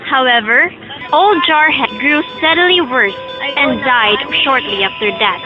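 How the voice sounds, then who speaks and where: Young woman narrating a folk tale for a student audio play, high voice, music behind. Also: measured pace, dull audio. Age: young adult. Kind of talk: scripted storybook narration in amateur audio drama.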